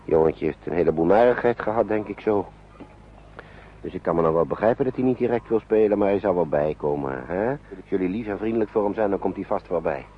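A man's voice: low voice, a little fast, natural voice. A man playing a kindly, worried uncle looking after refugee children, in a radio drama. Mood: gentle, reassuring. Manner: gentle, reassuring, patient with children. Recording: dull, noisy old radio play recording.

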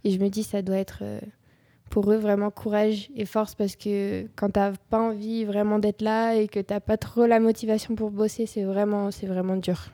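A woman's voice: medium pitch, little pitch movement, normal speed, warm tone, dry recording. A young woman co-hosting a casual student radio chat, talking hesitantly with many fillers and restarts. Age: young adult. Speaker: co-host, student.

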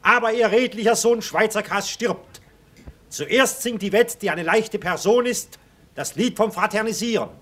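High-voiced scene announcer. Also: male voice, moderate pace, a bit bright. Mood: matter-of-fact. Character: solemn announcer of the play's scenes. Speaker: narrator. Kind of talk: scripted narration.

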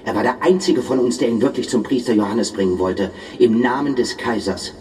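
A man's voice: mid-range voice, brisk, a little mellow, recorded from a distance, close to an everyday voice. An actor playing a bitter, menacing poet who commands and accuses his comrades in a German radio drama. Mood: accusing, insistent.

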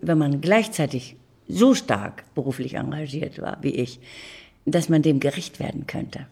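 A woman's voice: sits low, expressive, a little slow, gravelly, dark tone, breathy edge. An older woman giving reflective, conversational interview answers, speaking emphatically with opinions and repeated words. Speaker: interview guest.

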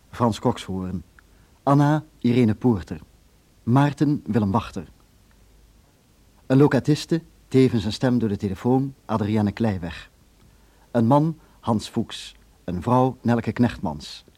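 Mellow, measured male radio announcer. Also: medium pitch. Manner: measured, formal. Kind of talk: scripted radio credits announcement.

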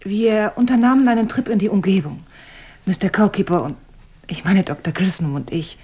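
Freimütige Dame mit belegter Stimme. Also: female voice, medium pitch, moderate pace, a little mellow, slightly rough. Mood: frank, unembarrassed. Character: frank, self-assured married lady having an affair. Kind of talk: German radio crime drama acting.

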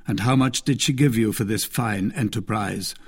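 A man's voice: fairly low pitch, normal speed, breathy edge. A man voicing a commanding young swordsman of twenty, stern and imperious, in an audiobook. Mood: probing. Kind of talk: dramatised audiobook character voice.

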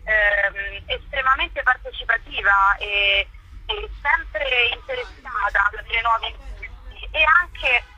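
A woman's voice: on the high side, slow pace, sharp tone, breathy edge. A woman being interviewed over a phone line, explaining a festival programme in a chatty, promotional way. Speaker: interviewed festival curator and performer. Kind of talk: live radio phone interview. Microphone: telephone. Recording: tinny roomless phone line.

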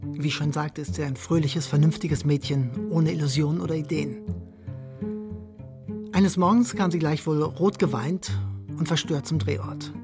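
A man's voice: on the high side, fairly even intonation, moderate pace, over music, lightly acted. An actor playing a bitter, jealous, tormented man in a radio drama, with a literary, theatrical delivery. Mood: narrating. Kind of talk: radio drama acting with first-person narration.

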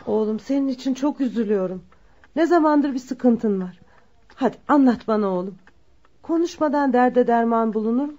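An actress playing a worried, gentle middle-aged mother in a radio play, anxious and pleading. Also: on the high side, moderate pace, natural voice. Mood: tender concern. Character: worried, gentle mother of a troubled grown son. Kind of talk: Turkish radio theatre acting. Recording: dull lo-fi radio drama recording.

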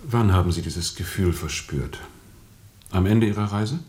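A sober man who listens and probes. His voice sits low. He puts short questions in a restrained, inquiring way, as dialogue in a radio drama. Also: animated, measured pace, a little mellow. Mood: insistent. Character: sober, probing male listener questioning the captain.

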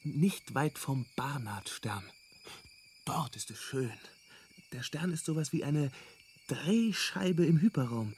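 A man's voice: a little high, animated, slow, a little mellow. A breezy space-travelling guidebook researcher in a radio play. He talks casually, evasively and in a placating way, over faint background ambience. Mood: wry. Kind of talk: radio drama dialogue. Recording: faint noise, ambient sound bed.

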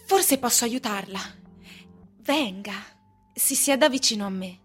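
A woman's voice: on the high side, animated, measured pace. A woman acting in a radio drama as a flirtatious, fickle wife, coy and confiding in her delivery. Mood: coy, insinuating. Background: music.

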